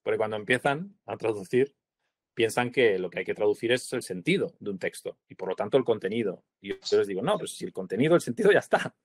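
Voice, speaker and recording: A Spanish translator in his forties, speaking in a reflective interview over a video call, pausing often with fillers and asking little tag questions. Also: fairly low pitch, animated, no room sound. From Spain. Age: 40s. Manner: thoughtful, hesitant, many fillers and tag questions. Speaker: translator, prize-winning guest.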